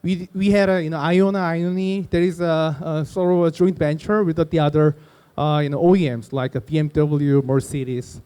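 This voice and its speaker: A man presenting his company's investment strategy at a conference, halting with many "uh" and "you know" fillers. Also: on the high side, fairly even intonation, mellow, clean tone. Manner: halting, heavy "uh" and "you know" fillers, non-native phrasing. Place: conference stage.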